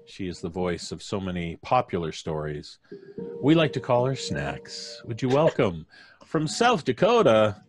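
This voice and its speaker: A middle-aged man with a deep, low voice hosts a casual live stream. He is jovial and halting, often pausing mid-thought as he introduces people. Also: huge pitch swings, music in the background. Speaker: podcast host. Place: home studio, video call. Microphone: studio mic on boom arm.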